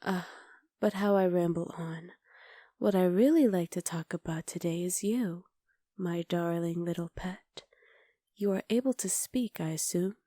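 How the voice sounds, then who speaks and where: A woman performs a powerful, haughty witch in a low, dark and mellow voice. She speaks softly and formally, with measured menace and an imperious air. The sound is dry and intimate, as in an ASMR roleplay. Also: no echo. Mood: coaxing, menacing.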